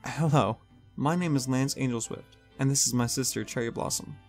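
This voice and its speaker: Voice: medium pitch, moderate pace, soft background music, close to an everyday voice. A storyteller voicing an anxious, polite young stallion pony in a fan fiction reading, hesitant and earnest.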